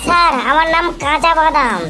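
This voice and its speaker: A woman's voice: high voice, brisk, over music, natural voice. A woman voicing an earnest young animal pupil in an amateur cartoon comedy. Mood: eager, nervous.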